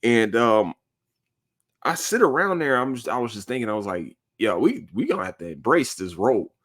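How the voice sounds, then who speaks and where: A man in his thirties hosting a podcast, talking casually and jokingly in a rambling, animated way. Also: medium pitch, moderate pace. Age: 30s. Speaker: podcast host. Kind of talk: casual solo podcast monologue.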